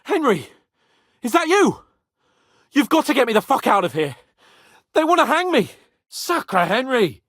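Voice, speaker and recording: An actor playing a haughty, brash young medieval nobleman in a video game, cocky and teasing, witty, hot-tempered and quick to swear. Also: extremely high pitch, very animated, fairly bright tone, no room sound, clean studio sound, heavily acted. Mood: exasperated, urgent. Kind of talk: dramatic video game voice acting.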